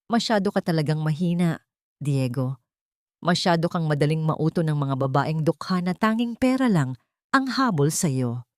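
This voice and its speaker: A woman's voice: low voice, expressive, normal speed, no room sound, studio quality. Woman voicing a haughty, cruel elderly rich mother. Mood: scornful taunting. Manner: contemptuous, bitter, cutting. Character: haughty, cruel wealthy elderly mother, taunting her son. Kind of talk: audio drama storytelling.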